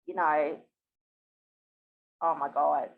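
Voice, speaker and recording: A woman's voice: low-pitched, fairly even intonation, very slow, vocal fry, narrow, tinny audio. A woman in her fifties with a New Zealand accent, chatting expressively and telling stories casually as an interview guest. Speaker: podcast guest.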